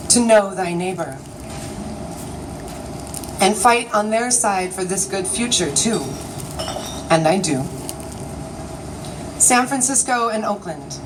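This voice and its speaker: A woman writer performing her own piece as a live spoken-word reading, with a theatrical, declamatory delivery. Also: medium pitch. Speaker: writer and magazine editor performing own work. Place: city alley at a live event. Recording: distant mic, noisy outdoor ambience.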